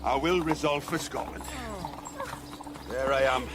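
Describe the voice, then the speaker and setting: A man's voice: medium pitch, a little slow, very noisy, close to an everyday voice. An actor playing a troubled, honour-bound older nobleman in a Shakespearean radio drama, earnest and formal. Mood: troubled, resolving.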